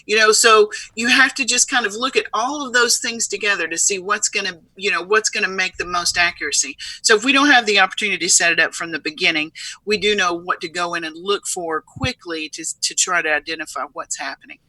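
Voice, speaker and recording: A woman speaks as an interview guest in a strikingly bright, forward voice. She is earnest and conversational, repeating phrases for emphasis. The recording is close and dry. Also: medium pitch, fairly quick, no room sound, low-quality recording. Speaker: interview guest, software company CEO.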